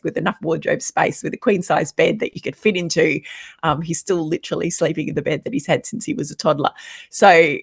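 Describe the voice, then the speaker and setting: Husky, low-voiced middle-aged Australian woman. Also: no room sound, studio quality. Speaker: podcast guest, home owner building a house. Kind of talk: reflective personal interview conversation.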